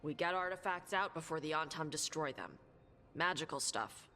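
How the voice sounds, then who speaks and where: A woman playing a blunt, laconic young dragon hunter and treasure seeker in a video game, talking gruffly and informally. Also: low voice, normal speed, bright, forceful tone, slight background noise. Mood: earnest, plain. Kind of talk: video game dialogue acting. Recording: music under the dialogue. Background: game music.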